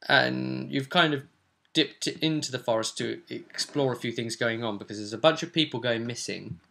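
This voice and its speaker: A man in the role of game master, talking through a fantasy tabletop session. His voice is bright and forward, with a creaky, gravelly edge at a middling pitch. He tells it in a casual, rambling style with plenty of description. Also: moderate pace.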